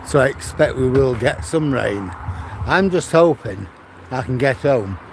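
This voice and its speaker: An older retired man from Manchester rambles on as he walks his dog along a seaside promenade. His voice is gravelly and his pace is slow and easy, with restarts as he chats into a phone on a gimbal. Faint outdoor sounds are heard behind him. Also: medium pitch, expressive, noisy background. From Manchester, England. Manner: chatty, rambling storytelling with frequent restarts. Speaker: vlogger walking with his dog. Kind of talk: casual walking livestream chat.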